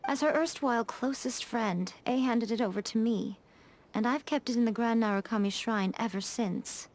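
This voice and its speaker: A woman's voice: fairly high pitch, normal speed, darkish tone, natural voice. A woman playing an elegant, shrewd shrine priestess, formal, poised and slyly teasing, in a video game cutscene. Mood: composed, knowing. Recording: music under the voice.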